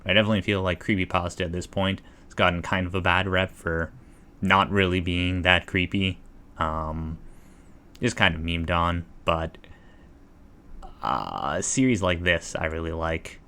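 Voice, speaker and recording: A man's voice: sits low, moderate pace, pushed and bright. A man giving casual reaction commentary as a livestreamer, hesitant and rambling as he thinks aloud.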